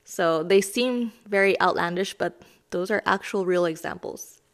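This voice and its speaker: A woman in her thirties hosting a self-help lesson podcast, her voice raspy as she gets over being sick, talking in a chatty, self-deprecating, explanatory way. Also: on the low side, a little slow. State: raspy voice from recent illness. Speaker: podcast host.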